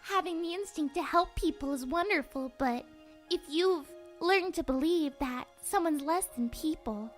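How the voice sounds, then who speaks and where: Tiny, high-pitched gentle book spirit. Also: female voice, moderate pace, faint music behind. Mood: gentle, earnest. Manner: cutesy, rambling, tender, playful slang. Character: gentle, chatty childlike female book spirit, affectionate and wistful. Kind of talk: comic dub voice acting.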